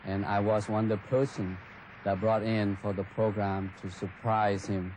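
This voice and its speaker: An older man from North Vietnam with a Vietnamese accent, recalling his past in a measured, hesitant way in a documentary interview. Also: fairly low pitch, level tone, a little mellow, poor audio. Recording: music bed under the voice.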